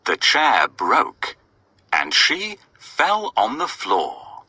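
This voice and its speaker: A man's voice: mid-range voice, wildly swooping pitch, drawn-out words, sharp tone, breathy edge, no echo. A man narrating a scripted children's story expressively, often putting questions to his young listeners.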